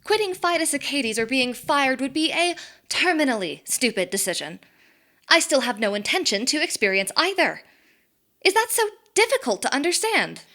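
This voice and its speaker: An actress playing a composed, polite, evasive woman boss in an audio drama, speaking formally and with measured phrasing. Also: sits high, big pitch swings, sharp tone, heavily acted. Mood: stern.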